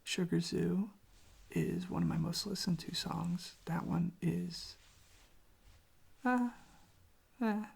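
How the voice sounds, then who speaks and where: A soft-spoken ASMR host rambling casually in a gentle, hesitant, self-deprecating way, with a breathy, husky, whispery voice close to the microphone. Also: mid-range voice, slow pace, pauses often. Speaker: host of a relaxation channel showing records.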